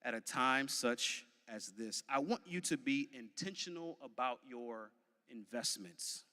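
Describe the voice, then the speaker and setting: Commencement speaker: American man, early 30s, bright forceful voice, deliberate preacher-like cadence, heavy hall reverb. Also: medium pitch, lively intonation. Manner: emphatic, deliberate, preacher-like repetition with dramatic pauses. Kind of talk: motivational commencement speech. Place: large venue, graduation ceremony.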